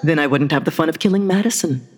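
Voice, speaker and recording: A woman's voice: low-pitched, fast. A woman playing a vain, scornful, murderous evil queen in a comic radio play, with a theatrical, imperious delivery. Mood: indignant, irritated. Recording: long hall-like reverb.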